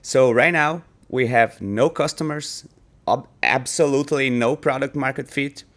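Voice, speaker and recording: Founder demo read: Brazilian-accented man, mid-range voice, loose and halting, full of restarts. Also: medium pitch, a bit bright. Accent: Brazilian Portuguese. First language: Portuguese. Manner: halting, many restarts and fillers. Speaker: startup founder demoing product. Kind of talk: casual screen-share product demo.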